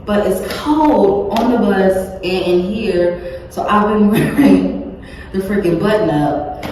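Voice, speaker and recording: A woman chatting casually in a self-filmed vlog, rambling with many fillers, in a monotone and slightly scratchy voice. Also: mid-range voice, slow. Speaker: vlogger. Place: indoor room, cold. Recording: echoey room with long reverb, faint ambience.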